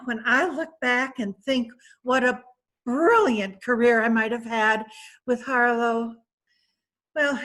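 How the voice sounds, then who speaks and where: A woman's voice: on the high side, fairly bright tone. A woman playing a gushing, vain socialite. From San Francisco. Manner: gushing, laughing, slow and theatrical. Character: vain, flirtatious wealthy woman, Mrs. Courtney Page. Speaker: reader in a play reading. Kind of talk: amateur readers' theatre acting.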